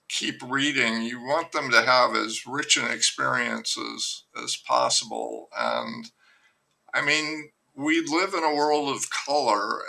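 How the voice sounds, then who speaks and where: Gravelly, bright-voiced male novelist. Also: mid-range voice, rough recording. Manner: candid, rambling, self-deprecating. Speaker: novelist guest. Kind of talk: conversational interview.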